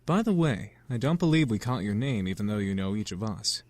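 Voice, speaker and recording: A man's voice: on the low side, animated, brisk, darkish tone, no echo, close to an everyday voice. An actor playing a polite, composed male incubus who leads his group in a visual novel game, speaking formally and courteously.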